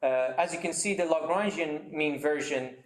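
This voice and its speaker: A man's voice: a little high, normal speed, echoey room. A man giving an academic seminar talk over a video call, explaining slides with frequent fillers and restarts. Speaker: seminar speaker.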